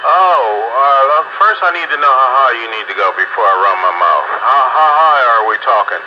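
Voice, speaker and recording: An easygoing American man with a mid-pitched voice chats colloquially over two-way radio. His transmission is dull and lo-fi, with clear noise throughout. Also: expressive, a little fast. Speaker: amateur radio operator. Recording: muffled, noisy ham radio transmission.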